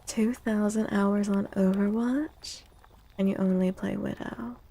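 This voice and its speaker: Breathy, slow, soft-spoken ASMR woman. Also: mid-range voice, fairly even intonation. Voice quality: breathy, often near-whisper. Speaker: live streamer hosting chat. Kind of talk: soft-spoken ASMR, casual live chat.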